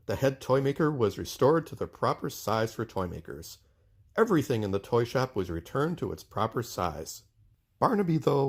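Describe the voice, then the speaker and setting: A man narrating a children's book aloud in an expressive storytelling style. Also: mid-range voice.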